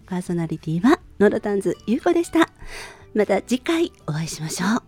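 A woman's voice: mid-range voice, big pitch swings, a little fast, husky, soft background music. A woman radio host speaking politely in a friendly, cheerful hosting and interview style. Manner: polite, bright-spirited.

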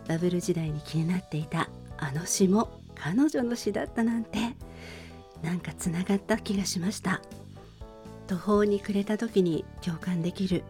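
Low-pitched woman hosting over music. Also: dark tone. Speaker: radio show host. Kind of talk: soft-spoken show talk introducing a song.

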